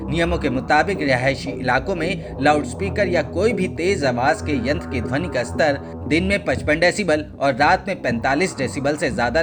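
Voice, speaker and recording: A man reading a scripted news explainer in a formal, expository way as a narrator. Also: a little high. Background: background music.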